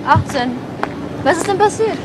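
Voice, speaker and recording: An actress playing a reserved, curt eighteen-year-old daughter in a 1970s radio crime play. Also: female voice, very high voice, lively intonation, normal speed, crowd noise. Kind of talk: 1970s German radio crime drama acting. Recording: faintly noisy vintage radio play recording.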